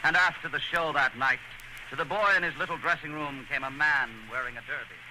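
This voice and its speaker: A man's voice: a little high, brisk. A man giving earnest, theatrical scripted narration in a 1940s radio style. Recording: noisy old radio broadcast.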